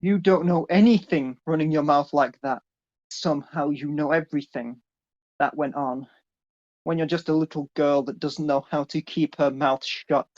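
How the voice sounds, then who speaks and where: Man acting a stern, higher-pitched domineering father. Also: fairly even intonation, normal speed, darkish tone, dull audio, lightly acted. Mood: angry, bitter. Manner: curt, dismissive, commanding. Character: stern, domineering middle-aged businessman father. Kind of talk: amateur dramatic reading of a story.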